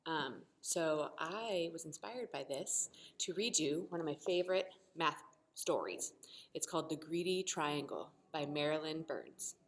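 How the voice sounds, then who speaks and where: A woman teacher reading a storybook expressively to children, pausing to explain simply and ask questions. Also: low-pitched. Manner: animated, asks the listeners questions.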